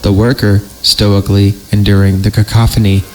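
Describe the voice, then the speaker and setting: A man's voice: on the low side, little pitch movement, normal speed, over music, poor audio. A man hosting a horror story podcast, narrating theatrically and breaking off for joking asides when he stumbles. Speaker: podcast host reading horror stories.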